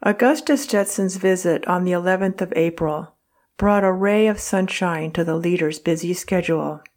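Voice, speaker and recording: A woman reading a historical account aloud as narrator, in a measured, earnest way. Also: lowish voice, a little mellow. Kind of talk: scripted narration.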